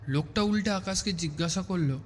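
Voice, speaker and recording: A man's voice: high voice, fairly quick, slight background noise. A man giving a scripted narration of a horror story. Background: music.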